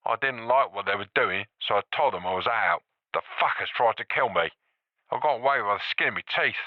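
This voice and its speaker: Male reader as a nervous, fast-talking guy on a muffled phone line. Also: lowish voice, lively intonation, no echo, close to an everyday voice. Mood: panicked. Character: panicked young man on the phone.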